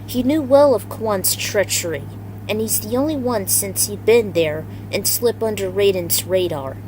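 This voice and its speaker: A woman's voice: medium pitch, measured pace, a little mellow, a little hiss or hum, low-quality recording. A woman reading a dramatic fantasy story aloud as its narrator, in an amateur recording. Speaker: fan fiction reader. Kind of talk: story narration with character voices.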